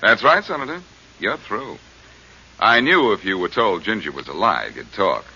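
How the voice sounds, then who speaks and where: An actor playing a tough, wisecracking detective hero in a 1940s American radio drama, terse, confident and mocking. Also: male voice, lowish voice, very animated, moderate pace, bright, forceful tone, breathy edge, exaggerated voice. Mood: cool, confident. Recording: muffled, noisy 1940s radio recording.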